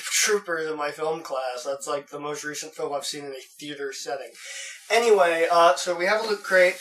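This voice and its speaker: Young guy unboxing in his bedroom, a bit stuffy from a cold, higher voice, sits back from the mic. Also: pushed and bright, recorded from a distance, low-quality recording. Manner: rambling, many "uh"s and restarts. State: has a cold. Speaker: unboxing vlogger. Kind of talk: casual unboxing chat. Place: bedroom at home.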